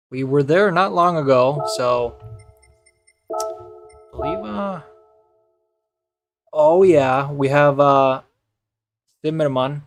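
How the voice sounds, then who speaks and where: Relaxed Icelandic man streaming a racing game. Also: mid-range voice. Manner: relaxed, rambling, many fillers. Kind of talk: casual live-stream chat and racing commentary. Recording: loud game music behind. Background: music and car engine sounds.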